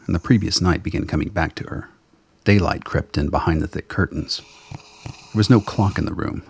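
A man's voice: very deep voice, gravelly, breathy edge. A man narrating a scripted radio drama as its storyteller.

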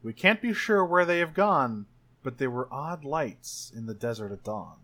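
A man's voice: medium pitch, lively intonation, normal speed, a bit bright. A man chatting casually and playfully as he improvises a story in a tabletop role-playing game. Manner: playful, joking. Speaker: tabletop game player and podcaster.

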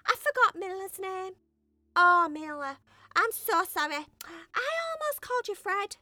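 A woman voicing a scatterbrained, boastful young female cat in a bedtime story, rambling and excitable. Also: extremely high pitch, unhurried, no echo, exaggerated voice. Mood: excited, chattering. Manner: rambling, excitable, self-admiring.

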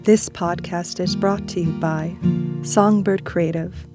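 A woman's voice: on the low side, fairly even intonation, takes it slowly. A woman reading a scripted podcast introduction as host, over plucked guitar. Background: plucked acoustic guitar music.

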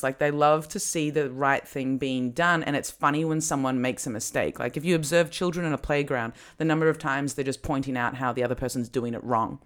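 Podcast co-host and comedian, mid-pitched voice, chatty, thinks aloud, laughs often. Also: a bit bright. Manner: chatty, laughs often, talks through ideas with many fillers. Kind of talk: casual chat.

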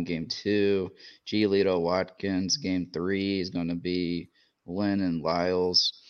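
A man co-hosting a podcast, talking baseball in a casual way and rattling off pitching matchups quickly. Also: smooth. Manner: rapid-fire rundown of matchups. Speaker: podcast co-host. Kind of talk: casual sports chat. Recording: dull lo-fi sound.

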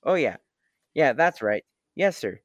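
A man's voice: fairly high pitch, big pitch swings, measured pace, no room sound. A young man playing a blustering, folksy, good-hearted rocket pilot in a classic radio serial. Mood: eager. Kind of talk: classic radio drama acting.

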